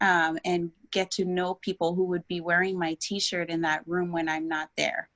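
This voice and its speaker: A woman's voice: fairly low pitch, little pitch movement, moderate pace, a bit bright. A woman from Ghana sharing career advice on a panel in a direct way. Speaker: panelist, operations and strategy leader.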